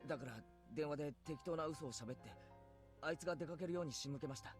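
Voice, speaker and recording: An actor voicing an earnest teenage boy who talks in a steady, clear, resolved way. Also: on the high side, very fast, soft background music, natural voice. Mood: resolute. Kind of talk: Japanese game voice acting.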